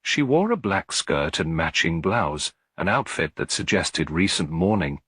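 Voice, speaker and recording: Male audiobook narrator, husky low voice, measured literary delivery, close dry sound. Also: sing-song, a bit bright, no echo. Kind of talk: scripted mystery story narration.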